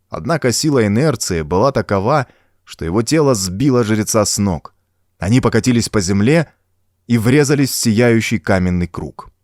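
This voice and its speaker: A man's voice: fairly low pitch, a little mellow, dry recording, clean studio sound. A man reading a pulp horror story as an audiobook, in a dramatic, scripted storytelling manner. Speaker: audiobook narrator.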